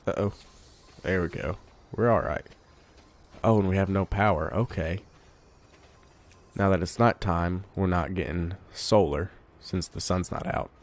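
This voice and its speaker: Deep-voiced congested man playing a game. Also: a little slow, pauses often. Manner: relaxed, thinking aloud while playing. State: congested from a cold. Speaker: gamer. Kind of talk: casual let's play commentary.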